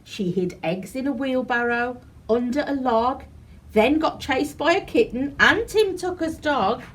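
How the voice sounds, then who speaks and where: A woman reading a rhyming children's picture book aloud as a storyteller, in an animated, deliberate way. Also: high voice, a little hiss or hum. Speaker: library storyteller.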